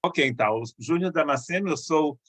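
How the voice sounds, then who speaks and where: A middle-aged man from Rio de state, a missionary pastor speaking to a church in a conversational, halting way with restarts. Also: a little high, expressive, fairly bright tone. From Brazil, Rio de Janeiro state.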